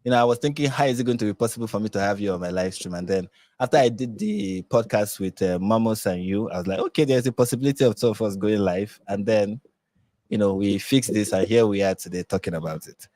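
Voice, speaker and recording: A low-voiced man from Nigeria hosts a live stream in casual chat. He rambles, restarts his sentences and leans on fillers. The sound is dry and close. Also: fast talker, dark tone, no echo. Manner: rambling, many fillers and restarts, laughs often.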